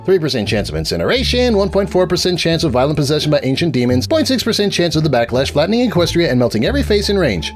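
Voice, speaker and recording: Man putting on a high, manic undead pony girl. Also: sing-song, fast talker, hardly any pauses, faint music behind. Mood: confident. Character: manic undead young female pony scholar, overconfident, theatrical. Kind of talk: dramatic fan fiction reading.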